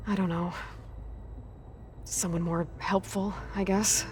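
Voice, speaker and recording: A determined young huntress speaks plainly and dryly. Her voice sits fairly low. Faint music plays behind her. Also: steady tone, slow pace. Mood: even. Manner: direct, dryly understated. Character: determined young female hunter, dry and direct.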